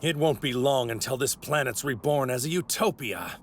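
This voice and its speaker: A man's voice: mid-range voice, moderate pace, a little hiss or hum, close to an everyday voice. A man playing an arrogant godlike villain in an English anime dub, with pompous, theatrical, formal delivery. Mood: disdainful. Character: arrogant godlike male villain in a stolen warrior body.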